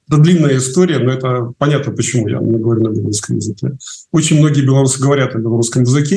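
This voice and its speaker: A man from Belarus, answering interview questions as a guest. He lays out his argument in a measured, explanatory way, pausing on fillers. His voice is mellow and warm, at an ordinary pitch. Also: low-quality recording.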